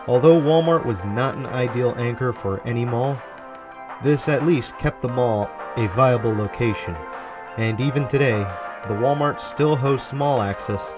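Man narrating over music, middling-deep voice. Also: a little slow. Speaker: video narrator. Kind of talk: scripted documentary narration over a walkthrough video. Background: background music.

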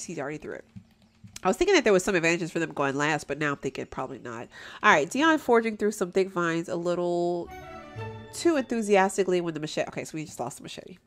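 Young woman, gameplay commentator, nasal stuffy-nose tone, easygoing and chatty, home desk mic. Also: fairly low pitch. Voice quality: nasal from allergies. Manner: chatty, addresses viewers as y'all, laughs often. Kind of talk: casual let's play commentary.

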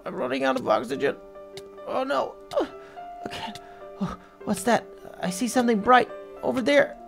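A voice actor playing an excitable, anxious young superhero friend, stammering and exclaiming through an improvised adventure story. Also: medium pitch, normal speed, creaky, soft background music. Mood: worried. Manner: stammering, exclamatory, talks to viewers as guys.

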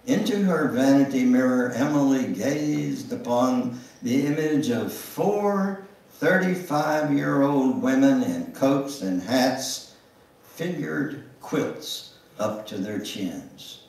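An older man reads literary prose aloud in a church with theatrical weight. His delivery is slow, swinging widely in pitch as he stretches words and leans on pauses. The sound is heavily reverberant, picked up from across the room. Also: animated, gravelly, darkish tone, far from the mic. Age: older, 70s or above. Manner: theatrical, deliberate, with drawn-out words and emphatic pauses. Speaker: guest speaker reading at a church. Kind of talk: dramatic reading of a memoir. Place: church sanctuary, indoors at the front. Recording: roomy, echoing church sound from afar.

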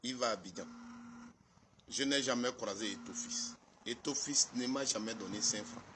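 A man's voice: mid-range voice, moderate pace, noisy background. A man with a Cameroonian accent doing a comic monologue, exasperated and pleading, repeating himself for effect.

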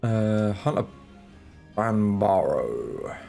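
Low-voiced man with a stuffy cold. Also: sing-song, drawn-out words, vocal fry, mellow, soft background music. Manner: laid-back, muttering, self-deprecating. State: congested from a cold. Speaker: gaming channel host. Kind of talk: casual let's-play commentary. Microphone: desk microphone, off camera.

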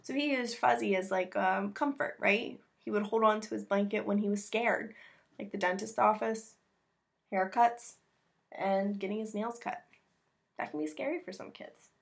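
A woman's voice: medium pitch, normal speed, creaky. An American woman in her thirties reading a picture book aloud to children, expressively, with chatty and simply worded asides, recorded in a room at home. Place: small room at home with bookshelves.

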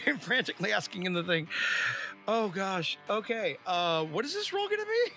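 A man playing a tabletop game, chatting casually in an excitable, expressive way. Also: sits high, moderate pace, soft background music.

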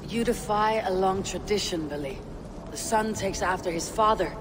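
Casting: male actor as loyal Norse raider for a game, high-pitched for a man, firm, blunt, noisy ambience behind. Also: normal speed, close to an everyday voice. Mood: firm, urging. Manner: firm, direct. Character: resolute, blunt Viking warrior, loyal friend. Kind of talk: video game cutscene acting.

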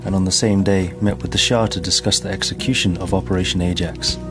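A man narrating a scripted documentary in a measured, formal manner. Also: low voice, steady tone. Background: background music.

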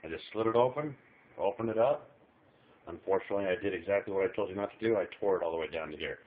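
A man's voice: sits low, quick pace. Folksy man with tinny phone-like audio. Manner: folksy, chatty instructions, talks to the viewer. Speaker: home cook filming a recipe. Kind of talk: casual cooking tutorial. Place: small kitchen while traveling. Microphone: handheld camera filming overhead.

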